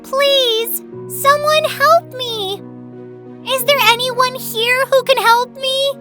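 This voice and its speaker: A woman voicing a small, spirited female feather in a storybook tale, high, loud and indignant. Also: sing-song, slow, full character voice. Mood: pleading. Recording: children's music behind.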